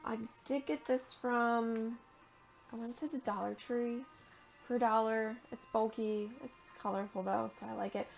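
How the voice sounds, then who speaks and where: A young woman, raspy and tired after a long day, chatting casually as she demonstrates an art journaling technique and sometimes murmuring to herself. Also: fairly high pitch, steady tone, moderate pace, faint music behind. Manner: chatty, thinks aloud while working, drops to a murmur at times. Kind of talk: casual crafting tutorial.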